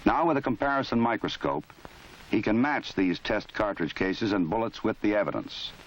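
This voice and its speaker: A man giving formal, measured scripted narration for an old training film. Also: on the low side, lively intonation. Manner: measured, formal, matter-of-fact. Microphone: voice-over. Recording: dull lo-fi 1970s film soundtrack.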